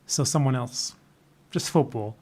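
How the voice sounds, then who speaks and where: A man's voice: medium pitch, unhurried, mellow. A man with an Australian accent chatting casually and off the cuff as a livestreamer, in a blunt, opinionated, sardonic manner. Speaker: livestreamer answering chat questions. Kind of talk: casual off-the-cuff political chat.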